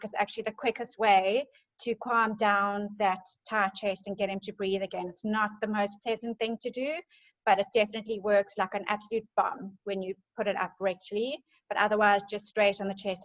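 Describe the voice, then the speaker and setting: A woman's voice: mid-range voice, dull audio. A woman presenting on essential oils in a chatty, enthusiastic way with many fillers. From South Africa. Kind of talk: casual group presentation.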